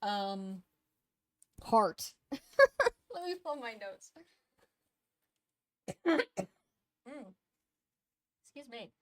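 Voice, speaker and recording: Young woman co-host, casual rambler with a loose, profane delivery, slightly sniffly and hoarse, dry close sound. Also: a little high, lively intonation, drawn-out words, long pauses, dry recording. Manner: rambling and profane, with many fillers. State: sniffly, losing her voice. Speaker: co-host reviewing games. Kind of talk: casual chat.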